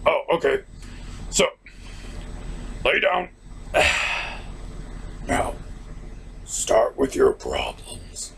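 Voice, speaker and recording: Comic Satan: thick gravel, extremely slow and halting, busy evil-doer turned helpful therapist. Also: male voice, medium pitch, huge pitch swings, fairly bright tone, slight background noise, exaggerated voice. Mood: businesslike. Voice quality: gravelly, growly. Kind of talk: comedy skit in a cartoon review.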